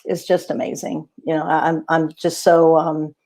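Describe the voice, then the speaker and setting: A woman's voice: low-pitched, flat delivery, normal speed, creaky, darkish tone. A middle-aged woman, a singer-songwriter, talking casually in an interview over a video call, chatty and easygoing with many fillers. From not Australia.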